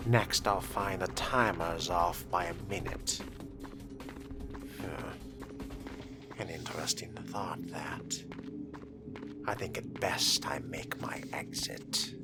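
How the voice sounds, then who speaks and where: A man playing a brooding, menacing young fledgling vampire in a game roleplay, murmuring in deliberate, formal words. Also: sits low, in a whisper. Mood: wry musing. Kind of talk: in-character game roleplay narration. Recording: music behind the voice.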